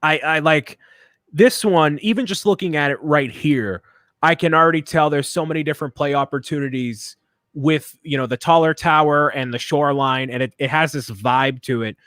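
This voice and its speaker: A man's voice: on the high side, normal speed, a bit bright, no echo, clean studio sound. A man co-hosting a casual chat, enthusiastic and opinionated, often repeating words as he talks. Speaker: co-host. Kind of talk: casual chat while building.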